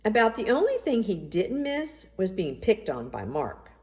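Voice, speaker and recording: A woman reading a children's story aloud in an expressive, deliberate storytelling style. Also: medium pitch. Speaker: storybook reader. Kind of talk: scripted children's story narration.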